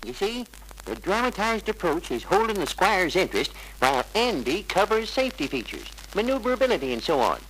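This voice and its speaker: A man's voice: high voice, big pitch swings, fairly quick, fairly bright tone. A man narrating a 1950s training film in a scripted style. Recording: noisy 1950s film soundtrack.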